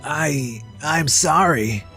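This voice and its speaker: A man's voice: a little high, drawn-out words, bright, forceful tone, soft background music, full character voice. A man playing a proud, impulsive male chef with a defensive manner in a comic dub. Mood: sheepish, defensive. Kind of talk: anime-style comic dub acting.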